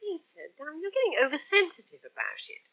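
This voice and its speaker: An actress playing a reasonable, practical English wife in a radio soap, soothing in manner, on a dull old recording. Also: female voice, very high-pitched, wildly swooping pitch, fast, full character voice. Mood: placating. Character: reasonable, placating middle-class wife. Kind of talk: British radio soap acting.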